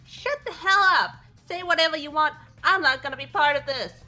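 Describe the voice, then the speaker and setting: A woman's voice: sits high, a little fast, sharp tone, soft background music. A woman voicing a brash, indignant teenage girl student in a game, with a confrontational manner. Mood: defiant. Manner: confrontational, exclaiming. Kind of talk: fan voiced visual novel playthrough.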